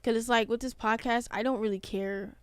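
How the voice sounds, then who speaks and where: A woman in her twenties hosting a podcast, chatting casually in an animated, slangy way. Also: fairly high pitch, fairly quick, clean tone, no echo. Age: 20s. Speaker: podcast host.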